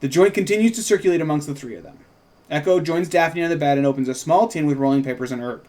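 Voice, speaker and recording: A man's voice: fairly high pitch, fast talker, mellow. A man doing a casual script read-through, narrating and adding informal asides as he thinks aloud. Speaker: co-writer reading a script at a table read.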